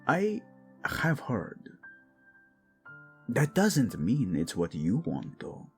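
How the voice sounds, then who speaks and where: A man playing a proud, passionate young king devoted to his wife in a romantic audio drama, speaking earnestly and deliberately. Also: mid-range voice, wildly swooping pitch, faint music behind, full character voice. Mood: tender, hurt.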